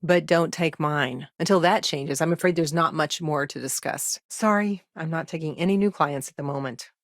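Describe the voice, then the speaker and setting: A woman playing a busy, dry-witted professional lawyer in a sci-fi video game, speaking in a measured, articulate way. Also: low-pitched, no echo. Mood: dry, wry. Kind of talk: scripted game dialogue.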